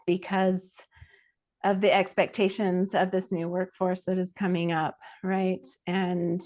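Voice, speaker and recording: Woman coach on a panel, kinda low voice, earnest n reflective, talking thru advice. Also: level tone, a little slow, a little mellow. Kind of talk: conversational advice.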